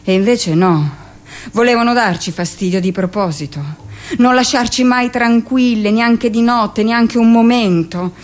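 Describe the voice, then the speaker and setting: An actress playing a hardened middle-aged midwife who confesses and justifies herself in an insistent, expressive radio monologue. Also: female voice, a little high, measured pace, close to an everyday voice. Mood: indignant, aggrieved.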